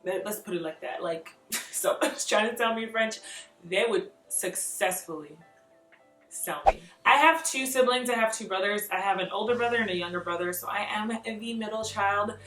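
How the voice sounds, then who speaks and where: A young woman vlogging, chatting through tag questions in an animated way, laughing often and filling her talk with "like.". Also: mid-range voice, moderate pace. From Nigeria. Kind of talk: casual chat answering tag questions. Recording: roomy echo, mic a bit far off, faint music behind.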